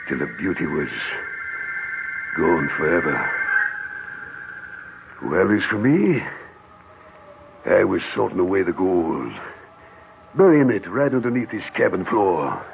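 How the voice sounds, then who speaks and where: An actor playing a vengeful, murderous old gold miner with an American accent, menacing and folksy in an old radio horror drama. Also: male voice, low voice, big pitch swings, vocal fry, a little hiss or hum, heavily acted. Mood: brooding, bitter. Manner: menacing, rustic folksy speech, drawn-out dramatic storytelling. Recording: muffled vintage radio recording with music.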